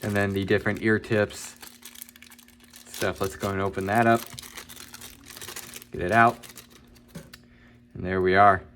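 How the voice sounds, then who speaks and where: A man giving a casual, informal product review, talking in a halting way and hedging his opinions. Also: fairly low pitch, steady tone, fairly bright tone, a little hiss or hum. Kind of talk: casual unboxing and review talk.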